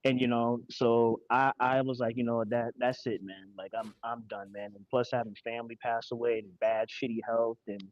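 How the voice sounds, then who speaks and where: A man's voice: medium pitch, fairly even intonation, moderate pace, hardly any pauses, no room sound, lo-fi sound. Candid, profane man in his forties. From Connecticut, USA. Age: 40s. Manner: candid, profane, street slang. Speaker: guest. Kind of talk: casual podcast chat.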